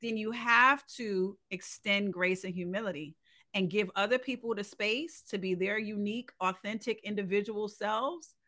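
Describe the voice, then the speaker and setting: A woman's voice: medium pitch, moderate pace, bright, forceful tone. A woman co-hosting a podcast, talking earnestly and emphatically in a reflective conversational monologue, often posing rhetorical questions. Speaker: podcast co-host.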